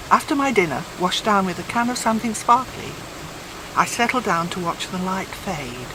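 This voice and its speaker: A woman reading a personal essay aloud as scripted narration, with measured, deliberate phrasing. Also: lowish voice, background noise. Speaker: volunteer reader.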